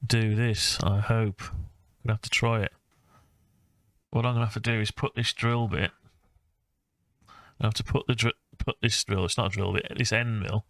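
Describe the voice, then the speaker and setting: A man talking through his own design project informally, thinking aloud with hesitant, halting phrases. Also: fairly low pitch, vocal fry, dry recording. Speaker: hobbyist maker presenting own design project. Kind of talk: informal screen-recorded CAD design walkthrough.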